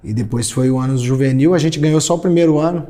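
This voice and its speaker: A man's voice: mid-range voice, fast talker. A man in his thirties from São Paulo state, Brazil, a guest singer reminiscing in casual chat. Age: 30s. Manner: reminiscing, relaxed storytelling.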